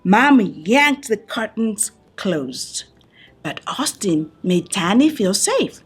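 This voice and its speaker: A woman reading a picture book aloud to young listeners in an expressive storytelling style, phrasing deliberately with dramatic pauses. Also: medium pitch, slightly rough. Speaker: children's book reader.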